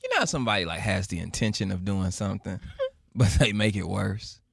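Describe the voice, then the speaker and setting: A man's voice: sits low, creaky, slightly rough, no room sound, clean studio sound. A man co-hosting a casual podcast, joking and trailing off into laughter and restarts. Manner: animated, laughing, halting restarts. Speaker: podcast co-host. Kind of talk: casual group chat.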